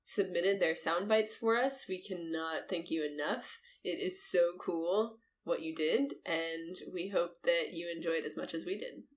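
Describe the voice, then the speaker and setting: A woman's voice: fairly low pitch, measured pace, hardly any pauses. A woman co-hosting a podcast, chatting casually in an upbeat way and laughing often. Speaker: podcast co-host. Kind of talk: casual chat.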